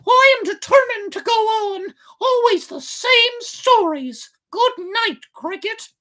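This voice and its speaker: A woman voicing a naive, stubborn little boy puppet in a squeaky, childishly dramatic voice for a storybook reading. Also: lively intonation, takes it slowly, bright, forceful tone, full character voice. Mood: stubborn, indignant. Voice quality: squeaky, shrill.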